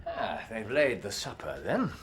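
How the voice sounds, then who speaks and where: An actor playing a brilliant, self-assured Victorian detective, with a British accent and precise, wry, theatrical diction. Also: male voice, fairly low pitch, very animated, measured pace, heavy background noise. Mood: amused, urbane. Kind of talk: period mystery drama acting.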